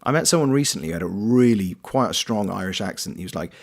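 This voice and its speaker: A British man with a fairly low voice that moves a lot in pitch, bouncing up and down as he talks. He chats casually on a live stream, reading out viewer comments and answering them by name in a playful, easygoing way. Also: lively intonation, quick pace, vocal fry. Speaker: streamer and voice actor.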